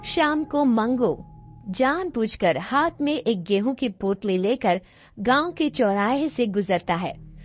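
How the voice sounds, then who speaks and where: A woman's voice: a little high, lively intonation, slow, no echo. A woman reading scripted story narration as the narrator of a tale.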